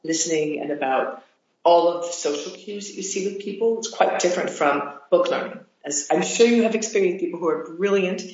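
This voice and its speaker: Woman student thinking out loud, pauses a bit, really echoey dull-sounding room. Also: fairly low pitch. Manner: thoughtful, reflective speaking with small hesitations. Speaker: language learner. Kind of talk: lesson conversation. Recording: dull roomy echo.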